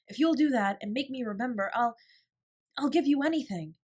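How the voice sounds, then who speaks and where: A woman's voice: on the high side, animated, brisk, darkish tone, husky, voice pushed up. A woman voicing a naive, easily dejected schoolgirl in a storybook, simple and rueful in manner. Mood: hopeful. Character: plump, dejected schoolgirl, slow learner, naive and admiring.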